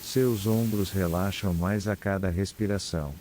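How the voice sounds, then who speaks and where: A man with a Brazilian accent guides a scripted meditation in a calm, soothing, unhurried manner with long pauses. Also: low voice, a little hiss or hum. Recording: clear background ambience of ocean waves. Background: sea waves ambience.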